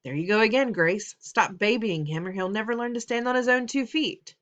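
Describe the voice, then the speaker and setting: A woman voicing a blunt, stubborn father who talks gruffly and raises his voice. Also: on the low side, sing-song, moderate pace, a bit bright. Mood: stern. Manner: gruff and insistent, raising his voice.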